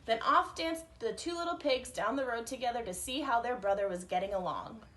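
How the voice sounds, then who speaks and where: Bright-voiced woman reading a children's story. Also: on the high side, a little fast. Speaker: teacher reading a picture book aloud to children.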